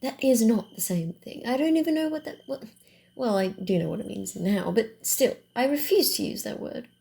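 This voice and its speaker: A woman voicing a proud, playful vampire wanderer in an ASMR roleplay, halting and teasing, easily flustered. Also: fairly high pitch, lively intonation, vocal fry, mellow. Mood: playfully indignant. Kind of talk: ASMR roleplay, acted one-sided dialogue.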